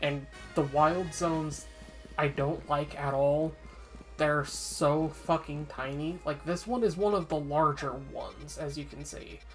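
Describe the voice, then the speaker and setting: A woman's voice: low-pitched, steady tone, slow pace, faint music behind. A woman streaming a game and chatting casually, slightly congested and sniffly, with lots of ums while giving candid opinions. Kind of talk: casual gameplay commentary.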